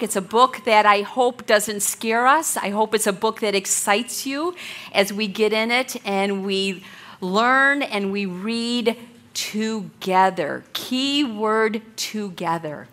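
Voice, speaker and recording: A woman leading a women's Bible study lesson, talking loudly and in an earnest, encouraging way. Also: medium pitch, takes it slowly. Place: meeting room with a blower.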